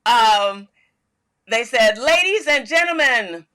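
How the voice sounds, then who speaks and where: Loud, lively older woman storyteller. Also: a little high, takes it slowly. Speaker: podcast co-host. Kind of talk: casual storytelling chat.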